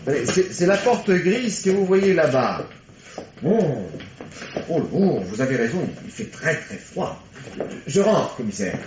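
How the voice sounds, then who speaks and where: An actor playing a nervously polite, evasive husband under suspicion in a radio mystery, stammering and hesitant. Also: male voice, fairly high pitch, sing-song, a little slow. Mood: uneasy. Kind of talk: old French radio mystery play acting. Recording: muffled, noisy old radio drama recording.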